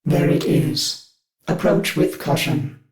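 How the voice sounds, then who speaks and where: An actor playing a cold, formal upgraded male ship AI in a video game, measured and controlled. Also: reverberant, natural voice. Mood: cautioning. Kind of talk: video game companion dialogue.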